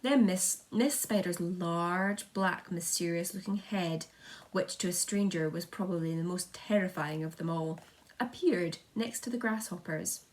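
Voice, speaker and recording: A woman reads a children's story aloud in an expressive, teacherly way. Her telling is dramatic, and she now and then trips on a word and carries on. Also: fairly low pitch, unhurried. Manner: dramatic, with occasional stumbles over words. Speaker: schoolteacher reading aloud.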